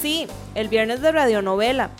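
A woman's voice: fairly high pitch, animated, normal speed. A woman announcer delivering a scripted promotional introduction for a radio program. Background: music.

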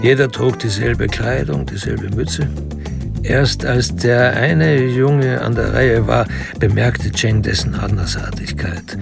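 A wry male storyteller narrating a crime radio play. His medium-pitched voice is heavily creaky and gravelly, with deliberate pauses, over faint music. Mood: observant, wry. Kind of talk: scripted literary radio drama narration.

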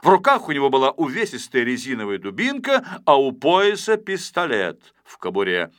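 A male storyteller reads a children's tale expressively. His mid-pitched voice moves around a lot and sounds bright, in a dry, close recording. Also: huge pitch swings, moderate pace, no echo. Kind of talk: expressive scripted narration of a children's audio story.

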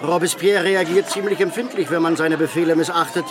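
An actor playing a blunt revolutionary executioner, brusque yet servile, in a German radio drama. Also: male voice, a little high, fairly even intonation, fairly quick, noisy background, lightly acted. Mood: awed, wary. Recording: outdoor crowd and music bed behind.

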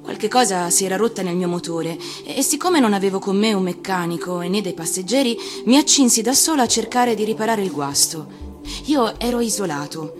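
A woman actor reading a storybook for radio, expressive and theatrical, with deliberate phrasing. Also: medium pitch, music in the background. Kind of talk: expressive scripted storybook narration.

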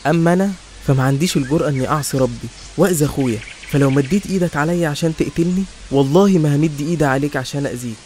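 An actor playing a pious, gentle young brother in a cartoon story, earnest and resolute, with an Egyptian accent. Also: male voice, fairly high pitch, very fast, a little hiss or hum, lightly acted. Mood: earnest resolve.

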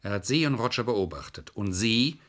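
An actor playing a shrewd, controlled defence lawyer in a German crime radio play, formally polite and insistent. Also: male voice, fairly low pitch, sing-song, moderate pace, fairly bright tone. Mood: pointed. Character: shrewd, coolly calculating male defence lawyer.

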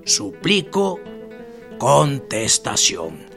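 An actor playing a haughty, scheming Mexican army general in a radio drama, with a creaky voice and a curt, authoritative, drawn-out delivery. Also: male voice, mid-range voice, huge pitch swings, fairly bright tone, heavily acted. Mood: formal, calculating. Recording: music behind the voice.

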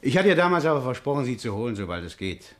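An actor in a 1950s German radio crime drama playing an anxious, hot-tempered working-class man who tells his story haltingly. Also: mid-range voice, sing-song, close to an everyday voice. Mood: uneasy, confiding.

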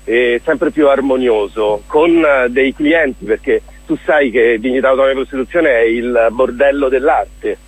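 Enthusiastic man with a slightly husky voice. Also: mid-range voice, normal speed, hardly any pauses, no echo. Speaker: interview guest, theatre director. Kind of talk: radio interview, casual promotional talk.

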